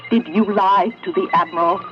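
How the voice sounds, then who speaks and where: Female computer voiced by a woman: deliberate, knowing, insistent. Dull vintage radio drama sound, clear music bed. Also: medium pitch, steady tone, natural voice. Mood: coolly insistent. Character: calm, knowing female computer speaking inside a man's head. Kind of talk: 1970s radio drama acting. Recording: muffled 1970s radio broadcast, music behind.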